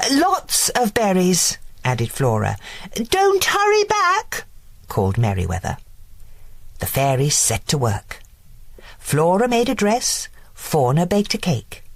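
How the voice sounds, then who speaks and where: A woman narrating a bedtime fairy tale, slow and expressive, with music behind. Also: a bass voice, gravelly, breathy edge, dry recording. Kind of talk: bedtime story reading.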